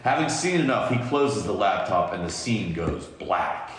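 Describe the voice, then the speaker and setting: Man, podcast host, scripted narration, lowish voice, amateur table read, long reverb. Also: big pitch swings, moderate pace, a little hiss or hum. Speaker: podcast host reading stage directions. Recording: very echoey room, long reverb.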